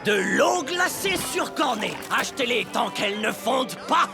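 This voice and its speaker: A man's voice: extremely high pitch, expressive, normal speed, vocal fry, over loud music, exaggerated voice. A man playing a brash fairground magician in a children's cartoon, announcing in grand patter. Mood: hawking. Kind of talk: animated children's cartoon dubbing.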